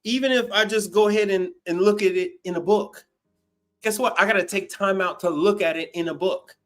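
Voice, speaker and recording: A man's voice: high voice, a little fast, fairly bright tone, faint music behind. A man in his fifties hosting an unscripted livestream, talking bluntly and emphatically, repeating phrases and swearing freely. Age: 50s. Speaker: livestream host, commercial appliance service technician. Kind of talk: unscripted livestream talk answering viewer questions.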